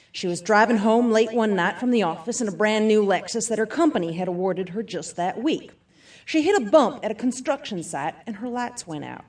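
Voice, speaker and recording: A woman's voice: fairly high pitch, darkish tone, distant mic. An actress playing a brash, upbeat self-defense seminar speaker who addresses her audience emphatically in an audio theatre piece. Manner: emphatic, peppy motivational-speaker delivery, folksy asides.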